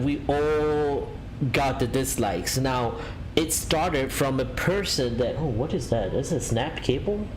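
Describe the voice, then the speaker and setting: Man, loose live-stream chatter, full of fillers, average pitch. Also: a little hiss or hum, poor audio. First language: Italian. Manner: rambling, many fillers and restarts, reads out chat. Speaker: flight simulator streamer. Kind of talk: casual live-stream chat.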